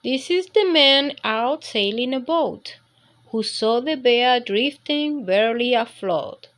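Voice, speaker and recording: A woman's voice: a little high, animated, slow pace, a bit bright. A woman reading a picture book aloud as a storytime narrator for young children.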